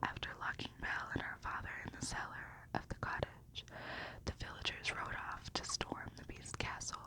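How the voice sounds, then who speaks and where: A woman reading a storybook in a gentle, unhurried, breathy whisper in an ASMR style.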